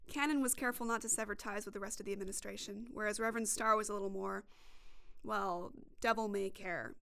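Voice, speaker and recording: A woman's voice: mid-range voice, fairly even intonation, fast talker, vocal fry, a bit bright, clear, resonant tone. A woman reporter reading scripted narration for a podcast documentary.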